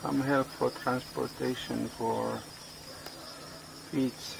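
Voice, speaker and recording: A man in his forties vlogging casually outdoors on a farm, speaking in halting English and searching for words, recorded on a handheld phone. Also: mid-range voice, little pitch movement, vocal fry, noisy background. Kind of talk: casual vlog narration. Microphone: phone camera at arm's length. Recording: outdoor ambience with insects and animals.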